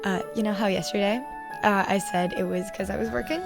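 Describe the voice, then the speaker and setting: A woman's voice: medium pitch, fairly even intonation, brisk, creaky, over music. A young woman reading story narration for an audio fan fiction while sick. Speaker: podfic narrator.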